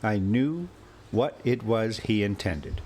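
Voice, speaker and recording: A man hosting a story time, reading classic prose aloud in a measured, deliberate way. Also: lowish voice, animated, a little mellow, slight background noise. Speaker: host and story reader. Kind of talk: audiobook-style story narration with casual asides.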